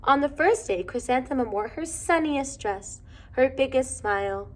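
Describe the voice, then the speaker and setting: A woman reading a children's storybook aloud in an expressive, measured way. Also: high-pitched. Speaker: storybook reader.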